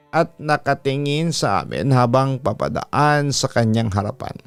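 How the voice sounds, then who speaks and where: A man with a Filipino accent narrating a scripted first-person story in a measured, dramatic radio style, drawing out the ends of phrases. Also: mid-range voice, no room sound. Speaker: radio announcer hosting a horror story show, reading listeners' letters. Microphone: close studio microphone. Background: soft background music.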